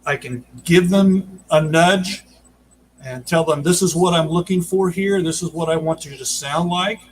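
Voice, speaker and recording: A man explaining his work as an audio drama producer in a casual, halting way during an interview over a video call. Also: on the high side. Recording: noticeable background noise, video call.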